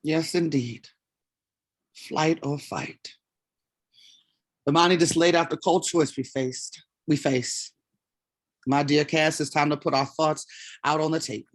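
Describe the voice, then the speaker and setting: A woman's voice: low-pitched, steady tone, a little slow, long pauses. A woman in a staged reading playing an impassioned, defiant leader of a community theater company, with a blunt, emphatic delivery. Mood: resolute. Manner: blunt, emphatic speech-making.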